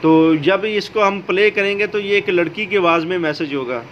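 Male tutorial host, notably high voice, explaining step by step over slightly noisy audio. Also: moderate pace. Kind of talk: how-to video narration. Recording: dull lo-fi audio, steady background noise.

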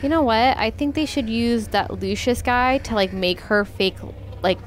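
A woman's voice: on the high side, normal speed. A woman chatting casually as host of a reaction show, commenting on what she watches. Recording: faint game music behind.

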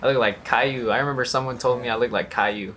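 A man's voice: mid-range voice, fairly even intonation, fast, background noise. A young man casually reacting to his own old video, talking in a laid-back, slangy way into a headset mic in a small bedroom. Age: young adult. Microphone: gaming headset mic.

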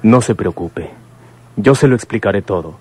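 A man playing a grave, considerate son in a radio drama, speaking politely and haltingly. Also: lowish voice, lively intonation, a little mellow, breathy edge. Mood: reassuring. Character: grave, considerate son breaking bad news.

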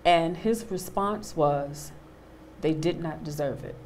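A woman in her thirties on a studio panel, telling a personal story with animated quoted voices. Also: low voice, slow pace, a little hiss or hum. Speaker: panel guest, actor. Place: studio stage with a small audience.

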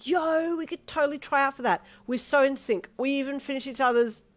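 A woman voicing a feisty, determined young woman in an acted audio story, emphatic and quick to snap. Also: sits high, pitched above the performer's own voice. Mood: excited. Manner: emphatic, excitable.

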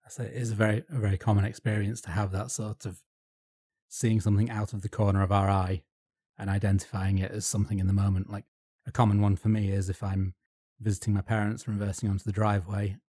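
A man's voice: lowish voice, little pitch movement, fast talker, warm tone, husky, no room sound, studio quality. A man with a Yorkshire accent co-hosting a podcast, chatting in a self-deprecating, jokey way. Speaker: podcast co-host. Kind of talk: casual podcast discussion.

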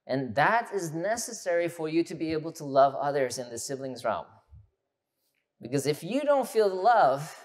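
Male pastor, 30s, casual teaching sermon, lively gestures, church hall, lapel mic. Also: on the high side. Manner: animated, gesturing, conversational teaching.

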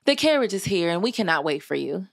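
A woman's voice: on the low side, big pitch swings, fast, vocal fry, dry recording, full character voice. A woman voicing a haughty, harsh stepmother in a storybook, condescending and affectedly polite. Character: haughty, harsh stepmother of middle years, falsely sweet.

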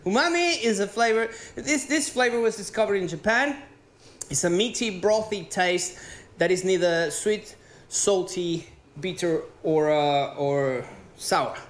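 Man, stand-up comic, animated and profane, echoey theater. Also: sits high. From Venezuela. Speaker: stand-up comedian. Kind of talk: stand-up routine. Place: theater stage. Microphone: handheld mic.

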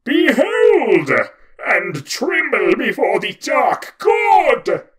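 A man voicing a huge, petulant, tick-like male Dark God who acts like an internet troll, loud, whiny and theatrical. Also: extremely high pitch, huge pitch swings, drawn-out words, voice pushed up, exaggerated voice. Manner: loud, whiny, theatrical, touchy about his hair. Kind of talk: storytelling with voiced characters.

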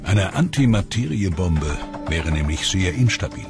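Low-voiced male documentary narrator. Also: sing-song. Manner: measured, explanatory delivery. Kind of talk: scripted voice-over narration. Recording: voice-over with music behind.

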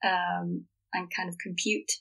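A woman's voice: on the low side, level tone, measured pace, gravelly, fairly bright tone. A woman from Germany speaking as a podcast guest in a thoughtful, earnest, conversational way. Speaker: podcast guest, filmmaker and actor.

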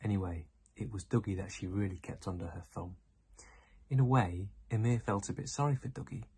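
A man's voice: fairly low pitch, fairly quick, dark tone, husky. A young man reading a children's story aloud. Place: indoor room.

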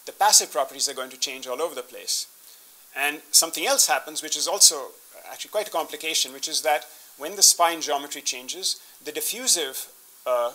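A man's voice: a little high, bright, forceful tone, poor audio. A man in his fifties explaining slides in a scientific talk as a keynote speaker, often restarting his phrases. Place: indoor conference room, standing at a podium with laptop.

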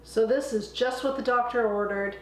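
A middle-aged woman hosting a home cooking channel, a bit hoarse from a cold, explaining a recipe step by step in a friendly, chatty way. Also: on the high side, fairly even intonation, moderate pace, reverberant, rough recording. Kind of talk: casual cooking demonstration. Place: home kitchen, indoors.

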